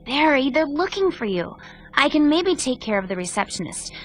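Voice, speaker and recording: A woman's voice: high voice, big pitch swings, normal speed, a little hiss or hum. A woman playing a helpful but skeptical sidekick in a cartoon game, with an incredulous, teasing delivery. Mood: skeptical, hurried. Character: helpful, skeptical female accomplice of a bee. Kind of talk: animated game voice acting.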